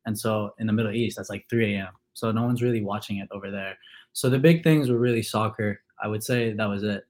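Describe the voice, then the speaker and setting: A young man from Kuwait, a college football player, answering interview questions in a relaxed way with many fillers. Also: lowish voice, brisk. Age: young adult.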